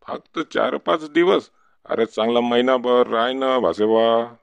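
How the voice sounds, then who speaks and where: A man's voice: mid-range voice, measured pace, gravelly, clean tone, dry recording, dull audio. A man playing a pushy, boastful drunkard uncle in a village cartoon, rambling and cajoling with a Varhadi Marathi accent. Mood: pushy, familiar. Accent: Varhadi Marathi (Vidarbha). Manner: rambling, cajoling, repetitive tag questions. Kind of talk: comic village cartoon dialogue.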